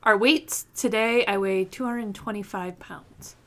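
A woman in her thirties hosting a casual podcast in a room with her sisters, earnest and quick to laugh, pausing with fillers as she thinks aloud. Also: mid-range voice, pushed and bright. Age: 30s. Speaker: podcast host. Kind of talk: casual faith podcast chat.

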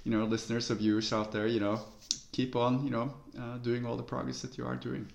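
A man co-hosting a casual podcast, agreeable and quick to back his partner up with affirmations. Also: mid-range voice, flat delivery, creaky. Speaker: podcast co-host.

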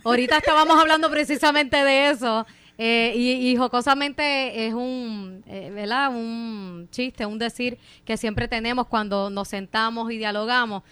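A woman's voice: on the high side, unhurried, a bit bright, smooth, no echo. A woman with a Puerto Rican accent, a legislator, speaking politely as a radio guest with some hesitant fillers. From Puerto Rico. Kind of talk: formal, courteous radio chat. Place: radio studio.

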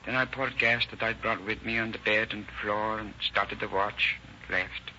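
An actor playing an unhinged, volatile robber and killer in a 1930s radio drama, menacing and agitated. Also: male voice, on the low side, fairly even intonation, moderate pace, bright, forceful tone. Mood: dazed, subdued. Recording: muffled, noisy old 1930s radio recording.

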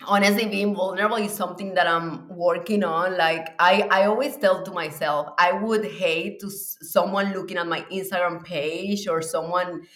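A woman's voice: fairly low pitch, fairly even intonation, normal speed, few pauses, a bit bright, echoey room. A woman from Cuba with a Puerto Rican accent, a mindset coach speaking as a podcast guest, enthusiastic and reflective, often laughing as she talks. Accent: Puerto Rican Spanish. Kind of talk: casual podcast interview.

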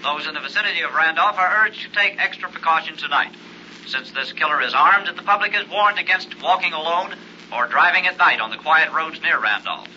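An actor playing an urgent, formal news announcer in a 1960s radio drama, clipped and emphatic over a car radio. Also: male voice, a little high, fairly quick, bright, forceful tone. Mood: urgent, grave. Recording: dull, faintly noisy vintage radio recording.

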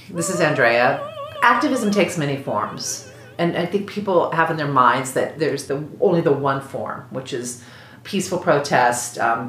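A woman answering as an interview guest in thoughtful, unscripted talk. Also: a bit bright. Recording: roomy echo, faint low rumble.

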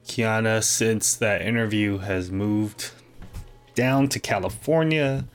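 A man hosting a podcast, gently introducing the next guest. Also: lowish voice, slow, clear, resonant tone. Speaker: podcast host.

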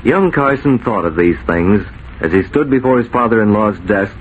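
A man's voice: on the low side, expressive, normal speed, narrow, tinny audio. A man narrating as a 1940s radio announcer, dramatic and declamatory. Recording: noisy 1940s radio recording.

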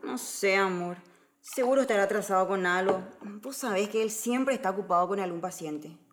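A woman's voice: mid-range voice, brisk, noisy background, close to an everyday voice. A woman playing a tender, reassuring mother in an audio drama.

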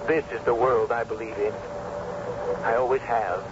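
An actor playing an earnest, torn but resolute defecting husband in an old-time radio science fiction drama. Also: male voice, medium pitch, fairly even intonation, normal speed, close to an everyday voice. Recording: dull, noisy old radio drama recording.

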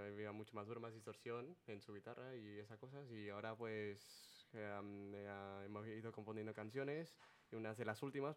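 A man's voice: on the low side, smooth. A man from Ecuador, a band musician, giving hesitant, filler-heavy answers in a radio interview. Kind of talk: casual interview talk. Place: radio studio.

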